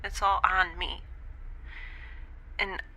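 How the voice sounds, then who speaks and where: A woman in an audio drama speaking a halting, often whispered confession. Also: fairly low pitch, little pitch movement, pushed and bright, husky, rough recording. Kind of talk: scripted audio drama monologue.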